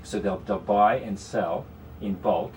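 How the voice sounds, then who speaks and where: A man's voice: on the low side, fairly even intonation, a little slow, a little mellow, recorded from a distance, slight background noise. A man with a Canadian accent, a guest strategist explaining investments in a radio studio conversation, with many "you know"s. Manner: explanatory, talks in long runs with frequent "you know".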